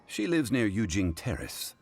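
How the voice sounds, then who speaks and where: An actor playing a calm, learned gentleman in a video game, speaking in a formal, measured and knowing manner. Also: fairly low pitch. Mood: formal, composed.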